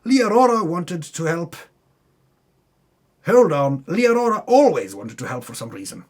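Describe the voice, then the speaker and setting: A man's voice: on the high side, sing-song, a little slow. A man giving a dramatic, tongue-in-cheek reading of a fantasy game recap, breaking off into muttered asides. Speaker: narrator recapping a tabletop game campaign.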